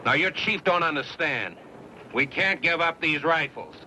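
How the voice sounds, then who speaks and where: A man's voice: fairly high pitch, animated, a little slow. An actor playing a hard, defiant frontiersman, blunt and challenging, in an old western radio drama. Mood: menacing challenge. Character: hard, stubborn, defiant frontiersman guarding his rifles. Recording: old radio recording with clear background noise.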